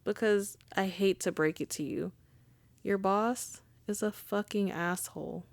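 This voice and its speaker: A woman's voice: medium pitch, a little slow, no room sound, lightly acted. A woman playing a wry, charming female mafia boss in an intimate ASMR roleplay, conversational and teasing. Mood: exasperated. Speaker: audio roleplay voice actor.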